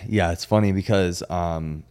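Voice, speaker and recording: A man with a low voice hosts a casual podcast chat. He talks informally and with plenty of slang, putting his opinions out plainly. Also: steady tone, a little slow, darkish tone, clear, resonant tone. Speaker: podcast host.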